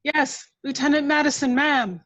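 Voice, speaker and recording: A woman's voice: sits high, steady tone, moderate pace, fairly bright tone, no room sound, dull audio. A woman playing an obedient, formal starship officer in an amateur script read. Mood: dutiful, formal.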